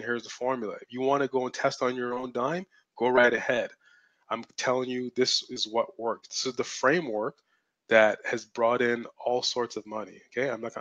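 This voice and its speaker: A man's voice: medium pitch, a bit bright, dry recording. A man hosting a live webinar as a business mentor, talking bluntly and assertively, repeating points for emphasis, his voice strained and cracking. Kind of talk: live-streamed webinar teaching.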